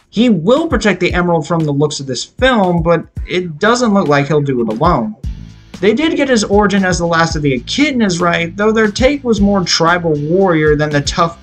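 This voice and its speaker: A young man with a slightly high voice narrates a scripted film review. He sounds enthusiastic and opinionated, giving his verdicts as a video reviewer, with music playing faintly behind him. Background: background music.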